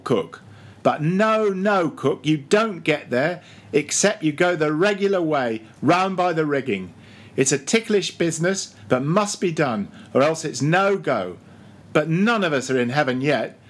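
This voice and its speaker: A man's voice: on the high side, voice pushed up. A man voicing a bossy, mocking ship's mate who badgers and gives orders in a storybook reading. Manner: commanding, interrogating, mock-solemn.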